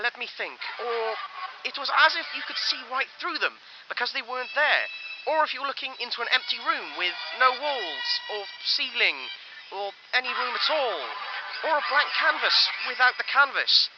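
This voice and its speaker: A man voicing a confused, earnest passenger in a comic radio sketch, halting and rambling. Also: very high-pitched, expressive, very noisy. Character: muddled, earnest train passenger struggling to explain. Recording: dull band-limited sound over clear music.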